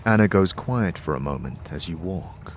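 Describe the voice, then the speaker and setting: A man's voice: deep voice, expressive, moderate pace. A man giving dramatic scripted narration as the storyteller of an audio drama. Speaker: narrator, game master. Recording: long reverb, ambient noise behind. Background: ambient sound.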